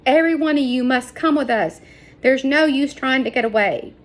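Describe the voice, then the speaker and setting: A woman's voice: sits high, normal speed, voice pushed up, lightly acted. A woman voicing a commanding, callous male soldier in a children's audiobook, giving curt orders. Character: commanding, callous large Spanish soldier man.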